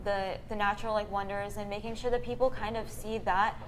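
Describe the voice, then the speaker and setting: A woman's voice: mid-range voice, fairly even intonation, brisk, slight background noise, low-quality recording. Young woman from Texas, casual and conversational. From Houston, United States. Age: young adult. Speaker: fellowship guest, college student. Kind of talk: casual conversational interview answers.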